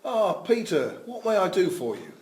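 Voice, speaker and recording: Brusque, dry-witted professional male actor, high pitch, very varied intonation, roomy. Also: wildly swooping pitch, moderate pace, a little mellow. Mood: formal. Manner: brusque, sardonic. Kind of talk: script reading of a drama. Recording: echoey room.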